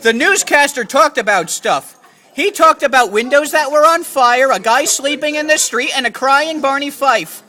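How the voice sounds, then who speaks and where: A high-pitched storyteller spins an improvised comic tale. The tone is bright and forceful, delivered as a mock storybook narration with absurd, profane humor. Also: normal speed.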